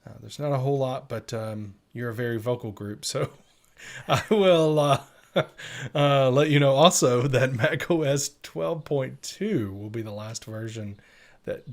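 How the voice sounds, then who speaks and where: A man's voice: medium pitch, measured pace, a little mellow. A man co-hosting a tech news show, talking casually and unscripted as he explains things step by step.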